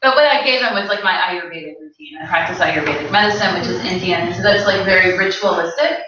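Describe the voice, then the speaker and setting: A woman's voice: on the low side, quick pace, pushed and bright. A woman in her late thirties speaking on a panel, telling personal stories in a candid, informal way. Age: late 30s. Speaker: panel speaker, Ayurvedic practitioner. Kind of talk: casual personal storytelling to an audience. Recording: echoey room, distant mic, dull sound.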